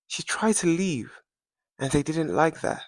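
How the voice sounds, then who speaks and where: A man voicing a frightened, guilty rich teenage girl who stammers haltingly, in a dramatic story. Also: a little high, expressive, no room sound, pitched above the performer's own voice. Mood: nervous confession.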